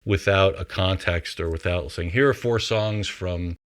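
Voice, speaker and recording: A man with a fairly low voice talks casually as a songwriter about his craft. He is reflective, working out his thoughts aloud as he explains. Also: unhurried. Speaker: composer and songwriter.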